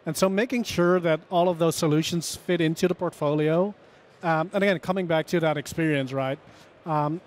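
A man in his thirties explaining fluently as an analyst guest in a live conference interview, often tagging "right?". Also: fairly high pitch. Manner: fluent, explanatory, tag questions. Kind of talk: live trade-show interview. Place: busy conference hall. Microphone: headset mic.

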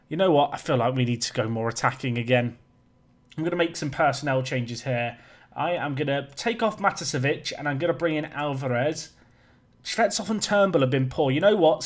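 A man giving chatty, joking live commentary over a football management game, his voice a little raspy today. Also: a little high. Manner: chatty, joking, self-deprecating. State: slightly raspy voice. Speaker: gaming YouTuber.

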